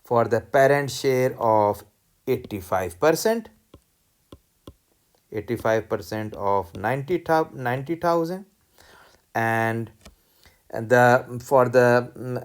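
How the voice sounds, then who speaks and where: Lecturer, mid-pitched voice, teaching worked examples in a deliberate, step-by-step didactic manner. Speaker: accounting lecturer.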